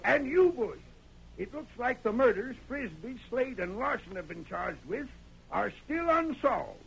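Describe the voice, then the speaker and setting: An actor playing a blustering, excitable town judge in an old radio western, with folksy, exclamatory speech. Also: male voice, very high-pitched, unhurried, gravelly, heavily acted, low-quality recording. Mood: indignant. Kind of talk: 1940s radio western acting. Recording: old radio recording, crowd murmur behind.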